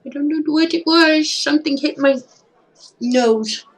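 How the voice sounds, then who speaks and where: A woman with a slightly hoarse, husky voice reading a visual novel aloud, expressive with casual asides. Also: high-pitched, unhurried. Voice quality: husky, throat not at its best.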